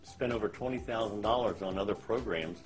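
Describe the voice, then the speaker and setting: Older man, lower register, sincere unpolished testimonial over light music. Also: a little fast, rough recording. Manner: plain-spoken, repeating words. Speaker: customer testimonial. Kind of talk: testimonial to camera. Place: indoors, high-rise room with window view. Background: soft music.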